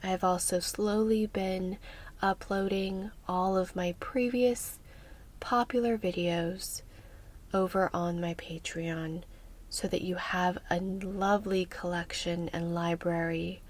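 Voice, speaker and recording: Woman, soft-spoken ASMR, very slow pace, lowish pitch, breathy and whispery, gentle, soothing. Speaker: ASMR host.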